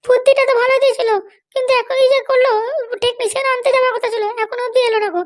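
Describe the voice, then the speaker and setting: A tiny, extremely high cartoon voice plays a bossy, short-fused wife with political ambitions. She rattles along quickly, scolding and threatening in coarse comic dialogue. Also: flat delivery. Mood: fretful, complaining. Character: hot-tempered, foul-mouthed wife running for election. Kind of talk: amateur comedy cartoon dialogue.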